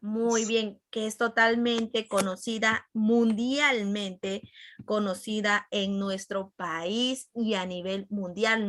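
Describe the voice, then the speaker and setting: Bright-voiced Peruvian woman teaching a class. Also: on the high side, no room sound, studio quality. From Peru. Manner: repetitive, checks in with listeners. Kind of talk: conversational lesson to a class.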